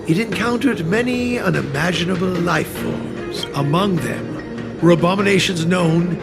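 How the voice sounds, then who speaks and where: High, creaky-voiced stern artisan. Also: male voice, a little slow. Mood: solemn, storytelling. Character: stern, earnest master artisan who tends an ancient furnace. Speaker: video game character. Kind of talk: scripted game cutscene dialogue, expository storytelling. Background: prominent music.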